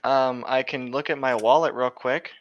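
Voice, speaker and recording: A man's voice: medium pitch, fairly even intonation, moderate pace, noisy background. A young man co-hosting in casual, sarcastic, profane banter, recorded on a lo-fi home mic. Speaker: co-host reading a book aloud with commentary. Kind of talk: casual joking chat.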